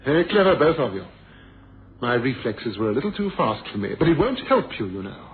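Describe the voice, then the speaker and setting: An actor playing an urbane, mocking murderer in a suspense radio drama, with a smug, darkly amused manner. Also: male voice, mid-range voice, very animated, fairly quick, natural voice. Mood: coolly menacing. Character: urbane, mocking male murderer who feigned blindness. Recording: noisy phone-like vintage radio recording.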